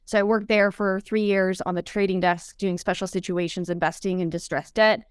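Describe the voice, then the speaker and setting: A woman's voice: mid-range voice, fairly even intonation, moderate pace, clean tone, dry recording, studio quality. A woman in her thirties from Canada, a guest telling her story in a casual interview, chatty with many fillers. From Canada (Vancouver). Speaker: guest, entrepreneur.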